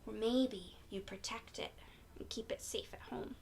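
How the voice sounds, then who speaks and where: A woman reading a picture book aloud to young children in an encouraging, expressive way, often putting questions to her listeners. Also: on the high side, unhurried, creaky, in a whisper. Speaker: children's read-aloud storyteller.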